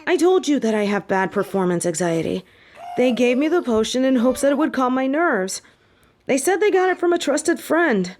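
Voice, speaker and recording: A woman's voice: medium pitch, a little mellow, a little hiss or hum, lightly acted. A woman playing a defiant, hot-headed young witch student in a fantasy audio roleplay, arguing bluntly and sarcastically. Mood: bitter, defiant.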